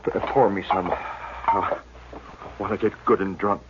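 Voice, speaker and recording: An actor playing a stoic, feverish homesteader and father, weak and halting, in a western radio drama. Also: sits low, steady tone. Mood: strained. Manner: halting, resigned, plain-spoken. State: weak from fever, labored speech. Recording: dull, noisy old radio recording.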